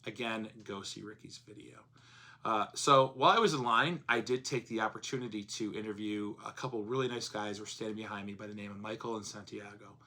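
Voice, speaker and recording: A man talks to his viewers in a loose, rambling vlog voice, still hoarse from a cold. His tone is bright and forceful, sitting a little low in pitch. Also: fairly even intonation, fairly quick. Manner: rambling, chatty, frequent fillers. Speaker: comics vlogger. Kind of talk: casual vlog narration.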